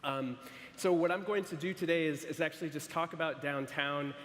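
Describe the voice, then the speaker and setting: Halting male speaker in an echoey hall. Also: fairly high pitch, steady tone, a bit bright. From San Francisco. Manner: hesitant, frequent restarts and fillers. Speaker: architect presenting to an audience. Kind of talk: conference presentation. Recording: echoey hall, faint noise.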